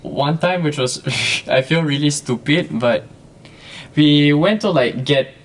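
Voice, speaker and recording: A young man from Bulgaria, a guest in a casual podcast chat, speaks thoughtfully and pauses to find his words. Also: a little high, a little hiss or hum. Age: young adult.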